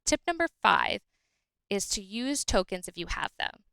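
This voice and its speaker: A woman's voice: medium pitch, big pitch swings, moderate pace, gravelly, sharp tone, smooth, no room sound, studio quality. A woman presenting game tips as a guest, explaining steadily and in order.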